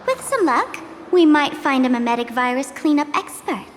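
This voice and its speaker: High, lilting, mischievous young trickster girl. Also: sing-song, moderate pace, faint music behind. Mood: teasing, playful. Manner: teasing, wry, self-mocking. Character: playful, mischievous, teasing young woman trickster. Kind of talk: video game voice acting.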